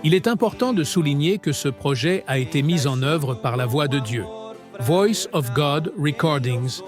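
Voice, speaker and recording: A man reading a scripted narration with a measured, solemn delivery. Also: medium pitch. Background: music.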